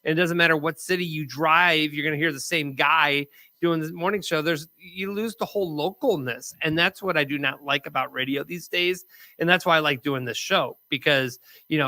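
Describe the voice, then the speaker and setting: A man's voice: fairly high pitch, pushed and bright, no room sound. A man co-hosting a podcast, chatting in a talkative, nostalgic way. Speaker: co-host. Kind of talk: casual podcast chat.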